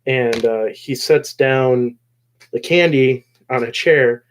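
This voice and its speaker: Man giving a halting, casual episode recap. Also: mid-range voice, slight background noise. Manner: rambling, halting, pausing mid-phrase. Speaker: host of a show discussion video.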